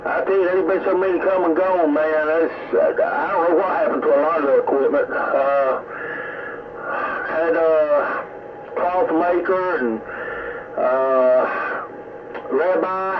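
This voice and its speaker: A folksy Southern US man with a fairly high voice talks over a CB radio. He rambles along casually, reminiscing, and the signal is tinny and thick with static. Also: fairly even intonation, takes it slowly. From American South. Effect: CB radio transmission. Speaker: CB radio hobbyist.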